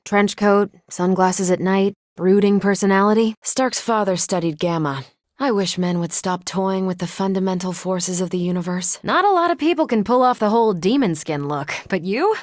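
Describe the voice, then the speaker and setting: A woman's voice: mid-range voice, animated, normal speed, no echo, clean studio sound, lightly acted. A woman playing a self-assured, wry superheroine and space pilot with an American accent, in conversational video game lines. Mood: wry teasing.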